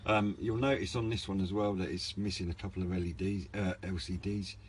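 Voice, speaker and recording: Low-voiced man in a casual review. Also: steady tone, fairly quick. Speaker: hobbyist radio reviewer. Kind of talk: casual, unscripted product review. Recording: clear background noise, room ambience.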